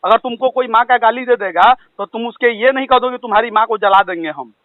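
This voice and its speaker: Man, Bihari accent, very high voice, confrontational, scolding, interrupting, narrow lo-fi phone line. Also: a little fast, dry recording. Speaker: caller confronting the other party. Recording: tinny, lo-fi recorded phone call.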